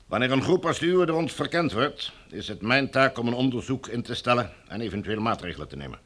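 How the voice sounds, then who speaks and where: An actor playing a formal, domineering military commander in a radio drama, smooth and coldly menacing. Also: male voice, mid-range voice, expressive, fast talker, a bit bright. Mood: officious, imperious. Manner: formal, officious, bureaucratic.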